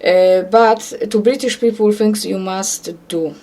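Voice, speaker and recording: Woman, Polish accent, Polish first language, earnest religious monologue, repetitive, keeps saying "okay?", mid pitch. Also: fairly even intonation, unhurried, warm tone. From Poland. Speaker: self-described prophet.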